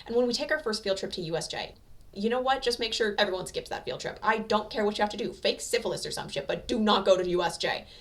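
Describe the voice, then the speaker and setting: A woman performs a brash young male hero from an anime fan story. He is gruff and bossy, swearing freely and talking with blunt impatience, in a mid-pitched voice. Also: fast talker, close to an everyday voice. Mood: urgent, bossy.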